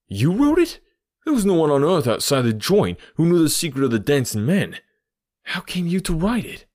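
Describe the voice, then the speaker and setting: A man voicing a fierce, lovelorn criminal in an audiobook, with a bitter, forceful manner. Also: low voice, moderate pace. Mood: suspicious. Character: fierce, proud, lovelorn American male criminal.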